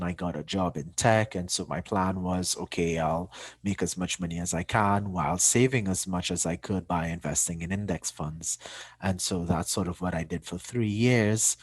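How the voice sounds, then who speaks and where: A man's voice: low voice, breathy edge, dry recording. A man, a startup founder giving a plain-spoken, informal personal talk to an online community. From Trinidad and Tobago. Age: 30s. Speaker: startup founder and chief executive.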